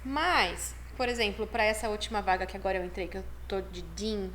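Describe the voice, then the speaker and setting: A woman's voice: mid-range voice, fairly quick, fairly bright tone, a little hiss or hum. A woman, a chiropractic dean chatting as the guest on a podcast, upbeat and full of fillers. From Brazil. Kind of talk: casual podcast interview.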